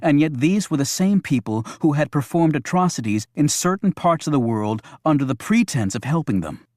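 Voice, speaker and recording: A man's voice: fairly high pitch, expressive, moderate pace, a little mellow, no room sound, clean studio sound. A man reading a thriller audiobook as scripted narration.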